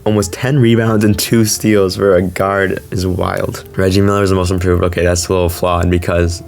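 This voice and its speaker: A man's voice: low voice, flat delivery, normal speed, gravelly, a little mellow. A man who is slightly sick, hosting a gaming video with casual, chatty commentary on a basketball game. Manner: informal, chatty. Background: background music.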